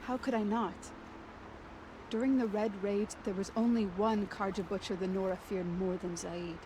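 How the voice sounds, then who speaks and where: A woman's voice: mid-range voice, steady tone, normal speed, lightly acted. Woman playing a resolute exiled warrior, noisy ambience. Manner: earnest, solemn. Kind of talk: video game drama acting. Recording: clear outdoor game ambience behind voice.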